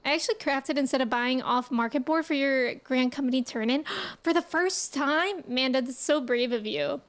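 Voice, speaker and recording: A woman's voice: sits high, moderate pace, fairly bright tone. A woman game streamer chatting casually with her viewers in a playful, teasing way. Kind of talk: casual livestream chat.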